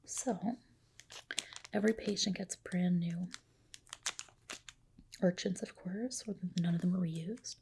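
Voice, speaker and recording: A woman whispering a soothing ASMR roleplay as a reassuring clinician, in a soft, breathy voice. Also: lowish voice, fairly even intonation, slow, pauses often, a little hiss or hum.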